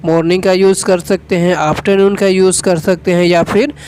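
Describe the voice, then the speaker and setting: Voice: medium pitch, level tone, moderate pace, a little mellow, slight background noise. A teacher with an Indian accent, giving an instructional lesson step by step in a methodical, repetitive way. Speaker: English teacher.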